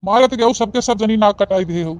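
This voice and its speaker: A man's voice: high voice, normal speed, no room sound, close to an everyday voice. A grumbling old father-in-law from a village cartoon, acted by a man. He is bossy and blustery, scolding everyone in a rustic Awadhi accent. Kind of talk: Awadhi comedy cartoon dubbing.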